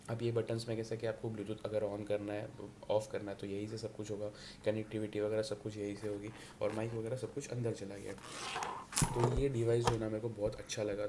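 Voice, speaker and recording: A man's voice: lowish voice, warm tone. A man with a Mumbai Hindi accent talking casually to viewers as a motorcycle vlogger, explaining colloquially and repetitively with English words mixed in. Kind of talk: casual vlog talk to viewers. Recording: noisy background, ambient and outdoor hum.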